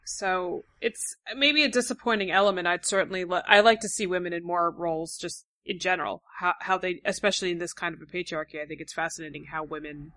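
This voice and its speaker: A woman with a husky voice chatting casually as a podcast host, giving her opinions emphatically. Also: fairly low pitch, fast talker, few pauses, fairly bright tone. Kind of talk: casual discussion among co-hosts.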